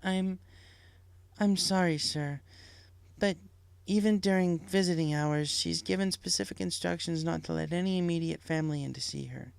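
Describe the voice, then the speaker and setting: A man voicing a polite, sympathetic but firm female pony nurse in a storybook, gentle and professional. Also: on the high side, normal speed, creaky, clear, resonant tone, voice pushed up. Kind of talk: storybook character voice.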